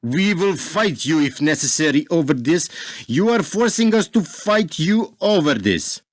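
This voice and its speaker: Man acting proud Russian ambassador, animated, higher-pitched. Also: a little slow. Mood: defiant. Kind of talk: radio drama acting.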